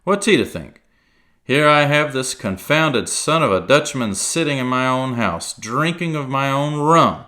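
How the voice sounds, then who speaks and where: A man's voice: mid-range voice, lively intonation, measured pace, sharp tone, pitched above the performer's own voice. A man reading aloud and voicing a cunning, one-legged pirate cook who blusters in salty sea talk. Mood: wheedling.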